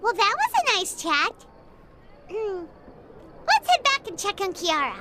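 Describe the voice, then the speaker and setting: A woman voicing a tiny, eager, chatty fairy companion girl in a squeaky childlike voice, anime game style. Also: big pitch swings, a little slow, very noisy, heavily acted. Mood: chirpy, eager. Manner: bubbly, exaggerated, refers to self by name. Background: game music.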